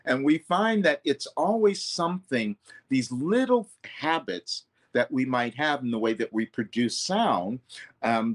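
A man, a vocal coach, talking earnestly in an interview, often correcting himself mid-sentence. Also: medium pitch. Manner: earnest, loose, self-correcting. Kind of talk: reflective interview talk.

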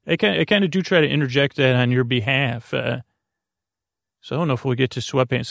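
A man hosts a sleep podcast in a creaky, fry-laden voice of middling pitch. He meanders and digresses in a halting, gently reassuring way. The sound is very dry, with no room around the voice. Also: no echo. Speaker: podcast host. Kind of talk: rambling, meandering bedtime podcast monologue.

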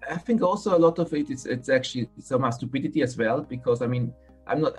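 A man with a Swiss accent speaks frankly as a podcast guest, often breaking off and restarting his phrases. His voice sits at an ordinary pitch, and faint music plays underneath. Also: a little mellow, slightly rough. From Switzerland. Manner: frank, halting, restarts phrases mid-sentence. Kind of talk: casual interview conversation.

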